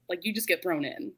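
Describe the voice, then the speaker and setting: Bright-voiced woman chatting casually. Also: fairly low pitch, husky. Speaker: host of a book readalong live show. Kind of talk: casual book chat.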